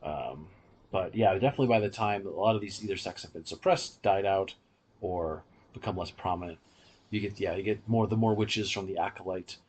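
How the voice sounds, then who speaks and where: A man's voice: deep voice, a little fast, darkish tone, slight background noise. A man hosting a review podcast, explaining things in a casual, conversational way. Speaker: podcast host reviewing audio dramas.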